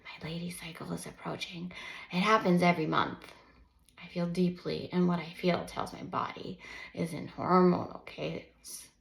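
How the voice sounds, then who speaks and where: A woman voicing a gentle but overwhelmed mother in a storybook, patiently and tenderly explaining things to young children with soft emphasis. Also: lowish voice, steady tone, normal speed, vocal fry. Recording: roomy, echoing sound.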